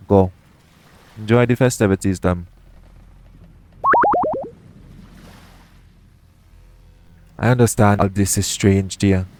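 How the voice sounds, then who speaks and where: A man playing a roguish, caring pirate captain in an intimate audio roleplay, speaking in a soothing, gently teasing manner. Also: on the low side, slight background noise, close to an everyday voice. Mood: gentle, reassuring.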